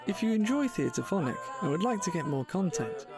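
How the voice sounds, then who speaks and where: A man's voice: high-pitched, very animated, a little fast, over music. A man reading scripted closing credits as the announcer for a drama podcast.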